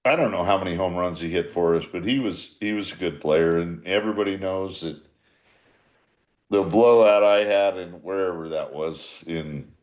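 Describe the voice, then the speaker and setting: Low-voiced older man reminiscing bluntly. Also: moderate pace. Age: 60s. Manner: rambling, repeats phrases, blunt and salty. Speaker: former baseball manager. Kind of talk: casual reminiscing in a group podcast.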